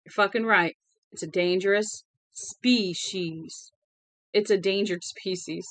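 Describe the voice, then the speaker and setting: A woman's voice: mid-range voice, unhurried, dry recording, close to an everyday voice. Woman voicing a drunk, menacing little Southern tough guy. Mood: menacing outrage. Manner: profane, ranting, threatening. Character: small, drunk, menacing young Southern man, crude and volatile.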